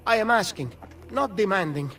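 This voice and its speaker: An actor playing an anxious, pleading servant in a video game cutscene, earnest and. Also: male voice, sits high, expressive, moderate pace, bright, forceful tone, exaggerated voice. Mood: pleading, afraid. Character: anxious, pleading Italian informant and frightened servant.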